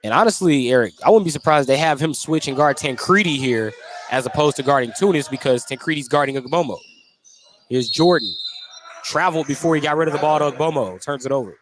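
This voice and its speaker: An American man doing live play-by-play basketball radio commentary, animated on big plays and conversational in between. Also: on the high side, quick pace. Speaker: play-by-play sports announcer. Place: indoor basketball gym during a game. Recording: clearly noisy gym background.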